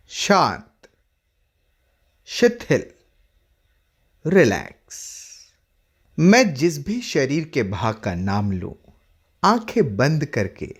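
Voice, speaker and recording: A man working as a yoga instructor, leading a guided relaxation in short instructive phrases with long pauses between them. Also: medium pitch.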